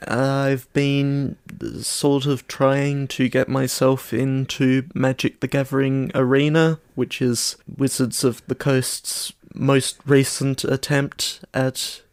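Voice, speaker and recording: A man's voice: mid-range voice, monotone, slow pace, few pauses, smooth, no room sound, clean studio sound. A man co-hosting a podcast in casual chat, understated and hesitant as he rambles along. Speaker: podcast co-host.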